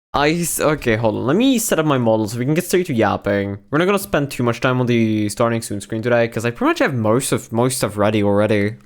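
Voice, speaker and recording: A young man with a worn-out, hoarse voice, chatting casually and profanely to his stream from his bedroom, with lots of restarts and slang. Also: medium pitch, animated, brisk. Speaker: VTuber streamer. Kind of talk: casual livestream chat. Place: own bedroom.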